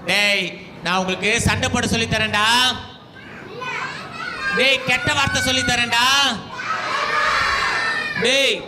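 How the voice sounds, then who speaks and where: A man's voice: very high-pitched, steady tone, slow pace, long pauses. A man playing a gloating devil who taunts children in a stage puppet show, drawing out words and cackling. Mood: gloating, taunting. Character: gloating, mocking devil tempting children, laughing wickedly. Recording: echoey noisy hall with crowd.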